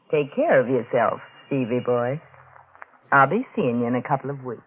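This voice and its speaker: A woman's voice: extremely low pitch, expressive, slow pace, exaggerated voice. A woman playing a hard-boiled, scheming married woman in an old-time radio thriller, with a tough, taunting, slangy manner. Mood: taunting threat. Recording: tinny, faintly noisy vintage radio.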